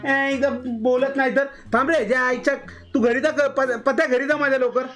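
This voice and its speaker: This is a young man with a distinctly high-pitched voice. He is agitated and insistent, chatting in slang as on a prank call, while light music plays behind. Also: level tone, moderate pace, fairly bright tone. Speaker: prank caller on a live stream. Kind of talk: casual slangy phone chat.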